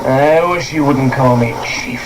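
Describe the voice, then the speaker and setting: A man's voice: medium pitch, a little slow, natural voice. A man playing a hearty, pompous, scheming spy chief in a comedy radio play taped on cassette. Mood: smug, condescending. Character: smooth-talking, scheming male spy chief. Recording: noisy old cassette tape recording.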